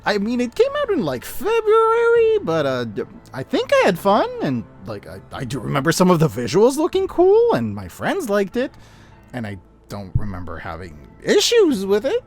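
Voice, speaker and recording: A man narrating a scripted, opinionated review in an emphatic, conversational way with deliberate dramatic stresses. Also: high-pitched, huge pitch swings, faint music behind. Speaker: host of a year-end media review.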